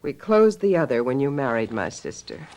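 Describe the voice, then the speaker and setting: An actress playing a bitter, sharp-tongued talent agent, a woman scorned, in a theatrical radio mystery drama with a biting, commanding manner. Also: a bass voice, very animated, moderate pace, exaggerated voice, rough recording. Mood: biting, scornful.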